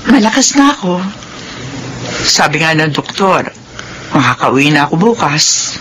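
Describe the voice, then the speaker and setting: A woman's voice: low voice, sing-song, unhurried, fairly bright tone, slight background noise, heavily acted. An actress playing an ailing, loving middle-aged mother in a Filipino radio drama. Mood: grateful, calm.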